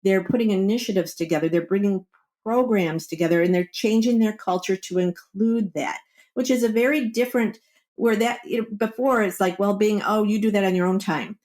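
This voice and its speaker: A woman in a podcast interview, speaking at a middling pitch. She explains things like a coach, in a conversational way, and slips into short example quotes to make her points. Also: fairly quick, darkish tone. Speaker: wellbeing coach, podcast guest.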